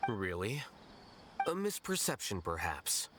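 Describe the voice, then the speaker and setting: A man voicing an aloof, cerebral scholar-official with a blunt, deadpan manner in a video game. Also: fairly low pitch, slow pace, background noise. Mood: dismissive. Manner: deadpan, detached. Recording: game music behind the voice.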